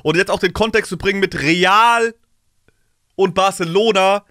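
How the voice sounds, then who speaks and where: A man in his thirties giving casual, animated, slangy reaction commentary in bursts, close on a studio microphone. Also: high-pitched, a little slow, no echo. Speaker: music reaction streamer. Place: small indoor streaming studio. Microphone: close studio microphone on desk, headphones.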